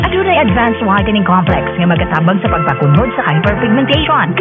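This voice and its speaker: A woman announces a radio commercial in a scripted read. Her pitch moves up and down freely. The sound is thin and tinny, with music playing clearly underneath. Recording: tinny radio broadcast over music bed.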